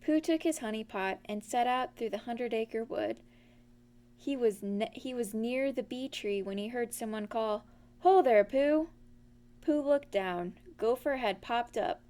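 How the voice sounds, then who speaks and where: A woman reading a children's story aloud as an expressive storyteller. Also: on the high side, unhurried.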